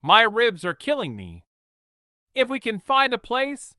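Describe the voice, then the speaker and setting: A man's voice: very high-pitched, wildly swooping pitch, unhurried, bright, forceful tone, dry recording, clean studio sound, pitched above the performer's own voice, exaggerated voice. A man voicing a tough teenage girl who is hurt but keeps going, speaking in short, breathless lines. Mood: strained, wry.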